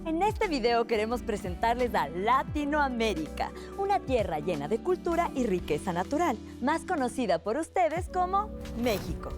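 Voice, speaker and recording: A woman's voice: fairly high pitch, big pitch swings, normal speed, hardly any pauses. A woman doing mock documentary narration in a polished presenter tone for a comedy sketch. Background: background music.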